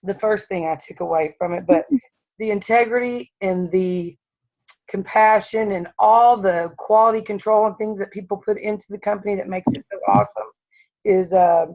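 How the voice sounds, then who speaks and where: A woman with a raspy voice who has just woken up, sharing earnestly on a call with halting speech and many restarts. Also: mid-range voice, fairly even intonation, darkish tone, muffled recording. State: just woke up. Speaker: caller.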